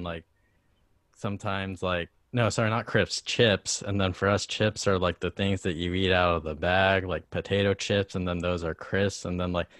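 A man's voice: low voice, a bit bright, dry recording, studio quality. An American man chatting in an interview, rambling with lots of "like" fillers. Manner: rambling, heavy on "like". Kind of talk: casual interview chat.

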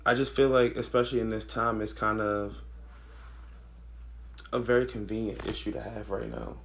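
Hoarse, gravelly young man podcasting. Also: lowish voice, normal speed. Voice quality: raspy. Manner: relaxed, slangy, thinks aloud with fillers. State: hoarse, losing his voice. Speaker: podcast co-host. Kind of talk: casual chat.

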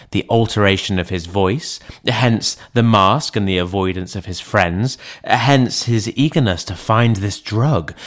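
A man reading the part of a sober, rational gentleman lawyer in an audiobook, in a measured, formal manner. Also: on the low side, lightly acted.

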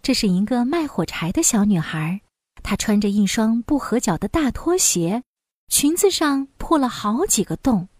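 High-voiced woman narrating a children's fairy tale. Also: normal speed, no echo, studio quality. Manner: expressive, clearly paced storytelling for young children. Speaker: storyteller.

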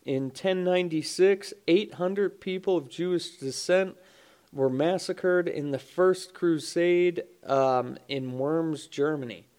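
A man's voice: a little high, takes it slowly, a little mellow, smooth. Congested, swearing man podcasting. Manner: swears often, offhand jokes, stumbles over names. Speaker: podcast host. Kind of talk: casual solo podcast, reading daily history.

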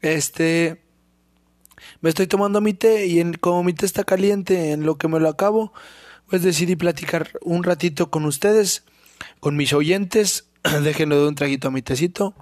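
A young Mexican man rambles through a solo podcast with a sore, hoarse throat, clearing it again and again. His voice sits a little high for a man, and he curses casually. The sound is dry and close, with no room around it. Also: fairly even intonation, moderate pace, a little mellow, dry recording. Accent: Mexican Spanish. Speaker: podcast host.

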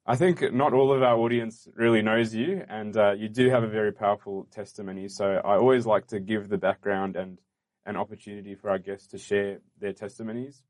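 Earnest low-voiced male podcast host. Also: fairly even intonation, a little mellow. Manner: earnest, measured and welcoming, with fillers. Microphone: studio microphone.

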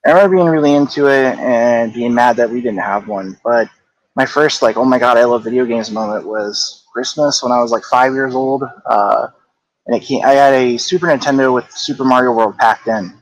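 A man's voice: mid-range voice, normal speed, poor audio. Reflective man in his thirties, guesting. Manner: reflective, hedging with "like" and "I don't know". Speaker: guest, game company co-founder. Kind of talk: casual interview chat.

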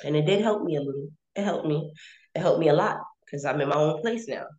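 A woman's voice: sits low, quick pace, darkish tone, highs cut off. A woman in her late twenties telling her own story casually and candidly, repeating phrases for emphasis. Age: 20s.

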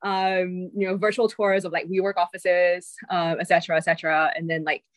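A woman's voice: fairly low pitch, little pitch movement, a little fast, no echo. A woman in her thirties from Singapore, with an accent shifting between Singaporean and American English, chatting casually as an interview guest with lots of ums and likes. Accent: mix of Singaporean and American English. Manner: chatty, many fillers like um and like. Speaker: venture capital partner, guest.

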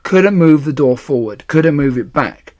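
A man's voice: fairly high pitch, lively intonation, unhurried, a little mellow. A man voicing an exasperated fire chief in a children's storybook, clipped and matter-of-fact. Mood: baffled.

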